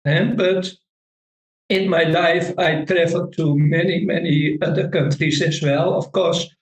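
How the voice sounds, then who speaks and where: A middle-aged Dutch man with a Dutch accent, a Vedic meditation teacher speaking halting, non-native English in a casual interview. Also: fairly high pitch, fairly even intonation, echoey room, poor audio. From Netherlands.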